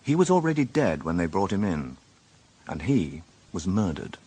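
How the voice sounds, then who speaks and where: A man acting as the narrator of a radio murder mystery, measured and dryly ironic. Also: low voice, very animated, mellow, breathy edge, exaggerated voice. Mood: dry, grave. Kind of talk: scripted radio drama narration.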